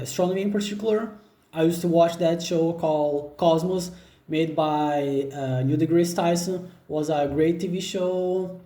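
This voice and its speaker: Brazilian man, halting, roomy laptop sound. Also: on the high side, warm tone. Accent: Brazilian Portuguese. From northeast Brazil. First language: Portuguese. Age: 20s. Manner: unhurried, hesitant with frequent fillers and restarts. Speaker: job seeker. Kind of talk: self-introduction video.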